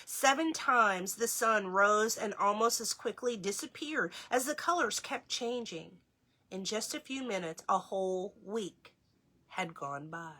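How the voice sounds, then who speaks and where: A woman reading a children's story aloud as host, in an expressive storytelling style. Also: medium pitch, measured pace.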